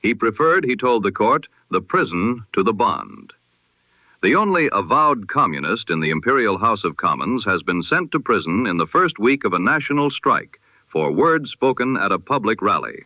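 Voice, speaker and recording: Male newsreader, low voice, lively emphatic delivery, vintage radio broadcast style, muffled lo-fi sound. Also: dry recording. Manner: measured, emphatic delivery with dramatic pauses. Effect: old radio sound. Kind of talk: old-time radio news broadcast. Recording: dull lo-fi old radio sound.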